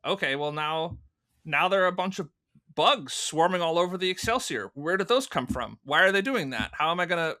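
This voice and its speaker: A man's voice: fairly high pitch, expressive, fairly quick, bright, forceful tone. A man in his thirties speaking as an interview guest, telling stories casually and acting out remembered thoughts in asides. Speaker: podcast guest, audio drama producer.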